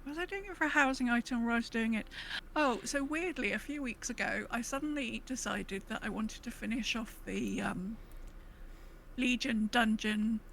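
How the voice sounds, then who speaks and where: A woman's voice: a little high, moderate pace, gravelly, slight background noise, low-quality recording. A woman co-hosting a gaming podcast in casual chat, rambling and breaking into her own sentences with quoted asides. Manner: rambling, self-interrupting, animated retelling with quoted asides. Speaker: podcast co-host.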